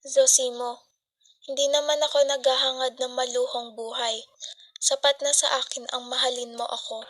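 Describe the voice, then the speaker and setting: A woman's voice: high-pitched, level tone, normal speed, fairly bright tone. A teenage Filipino girl playing a devoted, poor young wife and mother in a student radio drama. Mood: tender. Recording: music behind the voice.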